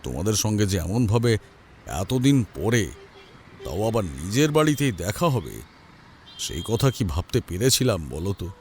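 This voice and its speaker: A man's voice: on the low side, sing-song, moderate pace, long pauses, darkish tone, slight background noise. A man playing a genial older tantric storyteller in an audio drama, with an avuncular drawl. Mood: wistful.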